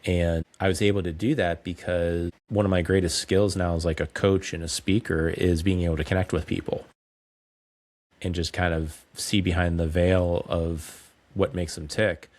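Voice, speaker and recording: A man in his forties, a coach and speaker, telling his story candidly and in a measured way as a podcast guest. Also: deep voice, little pitch movement, a little mellow. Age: 40s. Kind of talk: reflective podcast interview.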